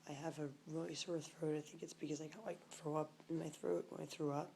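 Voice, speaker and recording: A woman keeps a casual video diary from her floor at home. Her voice is hoarse and weak, often dropping to a murmur with some gravel in it. A faint background hum sits under the handheld recording. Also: sits low, fairly even intonation, brisk. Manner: soft, murmuring, often near a whisper. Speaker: vlogger.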